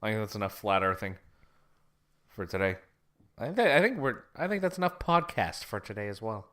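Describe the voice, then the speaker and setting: A young man co-hosts a casual podcast, rambling through his sign-off with many restarts and easy laughs. His voice is bright and swings widely in pitch. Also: very animated, brisk. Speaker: podcast co-host.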